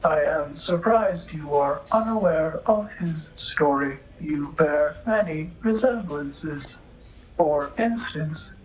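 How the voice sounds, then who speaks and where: Slow, formal android bartender. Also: female voice, low-pitched, rough recording. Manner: formal, precise, literal, halting robotic phrasing. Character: polite, sly female android bartender, coolly literal and formal. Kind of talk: noir audio drama acting.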